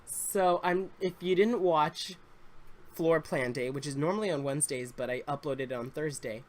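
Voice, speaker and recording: A man's voice: fairly high pitch, moderate pace. Congested young man rambling casually. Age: 20s. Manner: rambling and confessional, many fillers and restarts. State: congested, slightly raspy. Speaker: Sims YouTuber. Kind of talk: casual story time chat.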